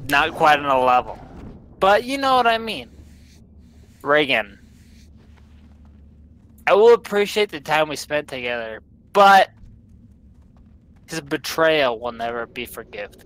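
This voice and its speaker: A man's voice: on the high side, very animated, unhurried, long pauses, a bit bright. A young man with a Southern American accent, drunk, chatting while he streams a game, rambling bluntly and swearing. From Southern United States. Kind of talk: casual chat while gaming.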